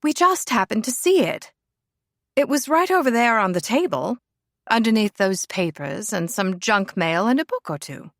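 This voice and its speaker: A woman's voice: mid-range voice, animated, normal speed, a bit bright, no echo, clean studio sound, voice pushed up. A woman voicing an eccentric, airy older aristocratic lady with a posh English accent. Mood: breezy.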